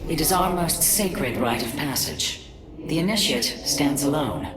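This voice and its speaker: A woman voicing a proud, solemn alien matriarch in a video game, speaking in a grave, ceremonial, deliberate way. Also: sits low, animated, over a noisy crowd. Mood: solemn, revering. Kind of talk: dramatic scripted game cinematic dialogue. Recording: loud ambient noise behind.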